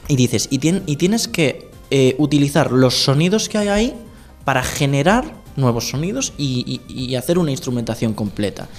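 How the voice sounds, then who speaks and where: A man's voice: mid-range voice, lively intonation, normal speed. A man co-hosting a radio gaming podcast, patiently explaining music production with simple comparisons. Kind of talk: casual explanatory chat.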